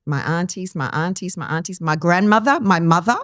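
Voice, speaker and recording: Podcast guest: a woman in her fifties from Timor-Leste, low voice, earnest and personal, close dry sound. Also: brisk, a bit bright, dry recording. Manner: earnest, personal, with many restarts and ums. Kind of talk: conversational interview storytelling.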